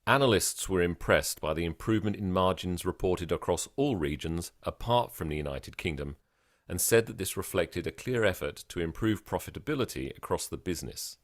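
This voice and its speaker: A man reading a scripted model text aloud fluently, measured, with pauses at the punctuation. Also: low-pitched, expressive, no room sound, clean studio sound.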